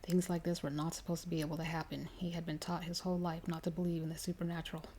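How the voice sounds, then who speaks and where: A woman reads a horror story for ASMR listeners. Her voice is lowish and very dark and soft. She keeps a measured pace of storytelling, with dramatic emphasis when characters speak. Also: flat delivery, a little hiss or hum. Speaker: ASMR storyteller reading aloud.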